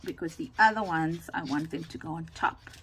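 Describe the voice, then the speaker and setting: A woman giving a casual, chatty craft demonstration, thinking aloud in a rambling way with a loud, carrying voice, recorded without a separate microphone. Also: sits low, normal speed. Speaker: craft tutorial host. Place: indoors at a home craft desk. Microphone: camera or phone without separate microphone. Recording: noisy room, no microphone.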